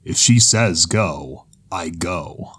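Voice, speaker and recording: A woman voicing an ominous, unsettlingly calm zebra with a formal monotone in an audio drama. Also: extremely low pitch, drawn-out words, husky, exaggerated voice. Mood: grave, flat.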